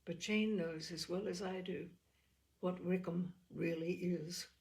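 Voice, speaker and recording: Lady reading as a young regency gentlewoman in a storybook, earnest n indignant, very proper and emphatic. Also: fairly low pitch, slow pace. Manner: emphatic, formal, animated.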